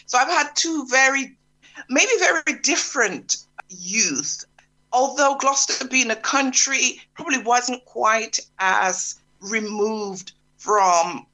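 A middle-aged woman from Jamaica, recalling her life in a relaxed interview with restarts and soft laughs. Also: medium pitch, poor audio. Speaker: interview guest.

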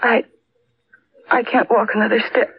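An actress playing a frightened, earnest young wife in an old-time radio drama, halting and pleading in her delivery. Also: on the high side, little pitch movement, husky. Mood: weary, pleading. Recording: noisy, dull old radio recording.

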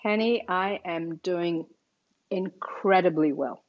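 A woman who grew up in Kuwait, telling her story as a podcast guest in a thoughtful, measured way. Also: fairly low pitch, highs cut off. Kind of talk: casual podcast interview.

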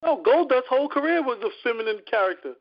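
A man's voice: very high voice, expressive, fast, vocal fry, highs cut off. A man calling into a casual podcast, agreeable and mostly giving short replies. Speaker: caller. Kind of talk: casual call-in chat.

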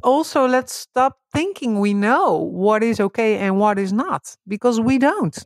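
Dutch-speaking woman, lively and laughing. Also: a little high, measured pace, dry recording, studio quality. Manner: animated, laughing often, many restarts. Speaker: guest. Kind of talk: relaxed spiritual conversation.

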